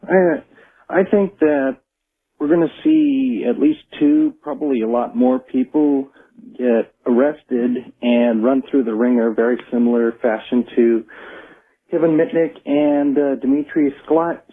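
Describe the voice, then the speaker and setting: A husky man talks casually as a caller on a radio show. His voice comes through a thin, lo-fi phone connection. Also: mid-range voice, lively intonation, unhurried.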